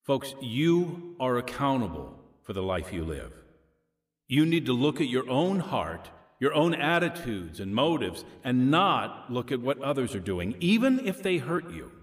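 A man's voice: medium pitch, big pitch swings, a little slow. An actor playing an earnest preacher in a radio drama, exhorting his congregation in a folksy sermon style. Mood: solemn, exhorting. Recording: long hall reverb.

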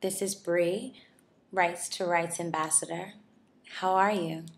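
A woman's voice: lowish voice, fairly even intonation, slow. A woman in her twenties from New York City, a model, chatting in a friendly way as she answers viewer questions. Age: 20s. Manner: friendly, chatty, laughs often. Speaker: model and charity ambassador.

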